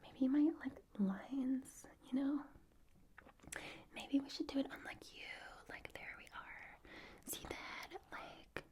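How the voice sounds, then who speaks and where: A woman voicing a ditzy young woman who whispers in quick bursts and fills every sentence with "like" in an ASMR role-play. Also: high-pitched, a little mellow, pitched above the performer's own voice. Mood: airy. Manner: whispering, filler-heavy "like" talk, quick bursts. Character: ditzy young woman fussing over wrinkles.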